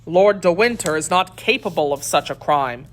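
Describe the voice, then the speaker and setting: A woman's voice: lowish voice, expressive, normal speed, lightly acted. A woman reading the part of a stern, devout male officer in a formal, solemn audiobook delivery. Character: stern, pious young male Puritan officer. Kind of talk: dramatic audiobook character reading.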